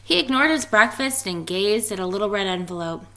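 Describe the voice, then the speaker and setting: A woman reading a children's picture book aloud in an expressive storytelling style. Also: mid-range voice, fairly quick, slight background noise. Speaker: story reader.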